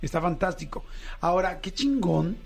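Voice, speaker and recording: A man with a Mexican Spanish accent hosts a casual interview in a high voice. He talks in a chatty, enthusiastic way and drops slang freely. He is from Mexico City. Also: expressive, normal speed, vocal fry, soft background music. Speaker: podcast host.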